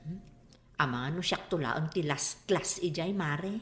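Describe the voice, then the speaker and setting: A woman's voice: low voice, measured pace, fairly bright tone, faint music behind. An actress playing a proud, stubborn mother with plaintive, resistant delivery in a radio drama. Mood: embarrassed, resistant. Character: proud, stubborn mother, regretful about unfinished schooling. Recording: roomy, echoing drama recording.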